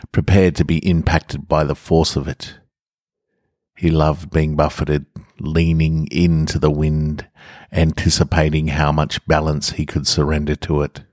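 Very deep male storyteller, close and dry. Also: a little mellow, dry recording. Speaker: podcast host and story reader. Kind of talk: scripted story narration with casual intros.

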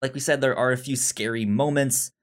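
A man with a medium-pitched voice discusses a film as a podcast co-host. He speaks casually and haltingly, often repeating a word or syllable while he gathers the thought. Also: steady tone. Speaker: podcast co-host reviewing films.

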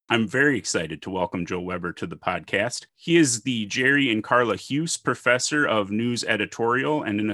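A man from Minnesota hosting a podcast, measured as he reads a formal guest introduction and then asks questions. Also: on the low side, bright, forceful tone, no echo, clean studio sound. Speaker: podcast host.

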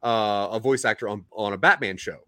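A man's voice: fairly low pitch, brisk, pushed and bright, no room sound, clean studio sound. A man hosting an interview, asking long, rambling questions with frequent restarts. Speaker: interviewer host. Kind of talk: casual interview chat.

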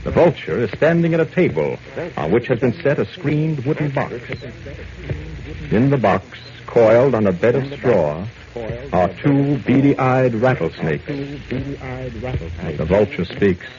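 A man's voice: mid-range voice, expressive, slow pace, pauses often, crowd noise. A man narrating a radio serial in dramatic, scripted 1940s style. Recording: muffled old radio broadcast with background sound.

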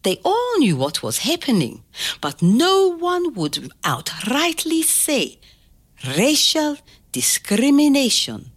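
An older woman telling a family story to a child, slow and expressive, pausing to ask gentle questions. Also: high-pitched. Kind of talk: oral history storytelling to a child.

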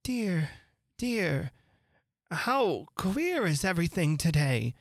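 A woman's voice: lowish voice, very animated, slow, dry recording, clean studio sound, exaggerated voice. A woman voicing a young girl who is curious, fretful and prim, talking to herself in wonder. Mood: puzzled.

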